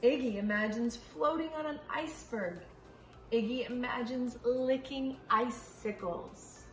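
A middle-aged woman preschool teacher reading and teaching to young children in a clearly enunciated, sing-song way, recorded in a room from a few feet away. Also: on the high side, measured pace, roomy sound, soft background music, low-quality recording. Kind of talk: children's story reading and letter lesson. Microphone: camera a few feet away.

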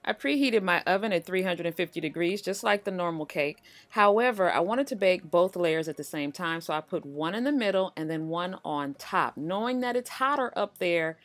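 Cooking-channel host, middle-aged woman, low voice, chatty and reassuring. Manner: chatty, reassuring, speaks to viewers as "you guys". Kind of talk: relaxed voice-over baking tutorial.

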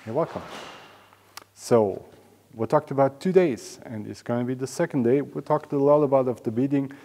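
A man's voice: mid-range voice, normal speed, warm tone, slight background noise. A middle-aged man gives an enthusiastic, informal promotional explanation in phrasing. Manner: enthusiastic, informal, non-native English phrasing. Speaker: auction house presenter. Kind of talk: semi-scripted promotional explainer to camera. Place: large indoor auction hall and storage room. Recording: reverberant large hall.

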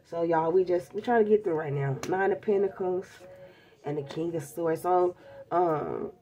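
Stuffed-up woman reading tarot, mellow voice. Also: lowish voice, normal speed. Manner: chatty and blunt, calls viewers babies, curses. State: stuffed up from a cold. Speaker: tarot reader. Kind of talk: casual tarot reading to viewers. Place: indoors at a table covered in tarot decks.

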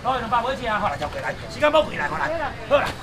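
A middle-aged man with a Taiwanese accent auctioning seafood at an outdoor market stall, calling prices in rapid, teasing patter to the crowd. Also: extremely high pitch, big pitch swings, vocal fry. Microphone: far camera. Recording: distant voice with loud street noise.

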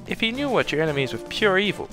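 A laid-back young male sharpshooter from a video game, put on by a man. He is curious and casual, a bit hesitant, in a raised, swooping pitch. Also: sing-song, fast talker, music in the background. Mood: curious, unsure. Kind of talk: game dub dialogue.

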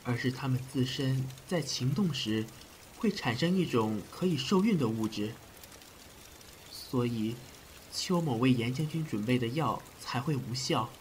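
An actor playing a frank, deferential physician in a period audio drama, speaking formally and in measured phrases. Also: male voice, medium pitch, lively intonation, slight background noise, lightly acted. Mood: explanatory. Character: frank, deferential male physician of ancient China.

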